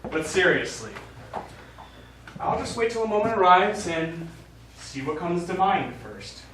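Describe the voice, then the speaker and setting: A man's voice: high-pitched, big pitch swings, a little slow, a bit bright, heavily acted. Man acting an eager astronaut, very echoey. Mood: playful. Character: eager American male astronaut, dreamy, joking mission leader. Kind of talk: stage play dialogue. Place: small studio theatre, recorded from afar. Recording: very echoey, noisy, distant stage recording.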